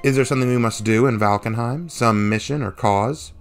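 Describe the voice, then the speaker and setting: A curious young warrior woman, voiced by a male narrator, pitched well above his natural register. The delivery is light, polite and questioning, in fantasy audiobook style, over faint music. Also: a little fast, lightly acted.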